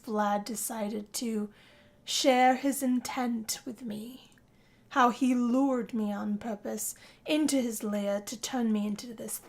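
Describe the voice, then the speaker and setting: Casting: newly turned vampire heroine played by a woman, proud and bitter, higher voice, measured theatrical narration for game story. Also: a bit bright. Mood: brooding. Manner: dramatic, deliberate. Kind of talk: scripted first-person story narration.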